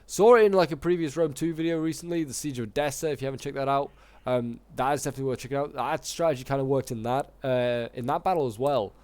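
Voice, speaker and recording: A man with a sore throat, slightly hoarse, giving casual, rambling commentary on a strategy game battle with lots of fillers. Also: medium pitch, quick pace, darkish tone, dry recording. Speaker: gaming YouTuber casting a multiplayer battle.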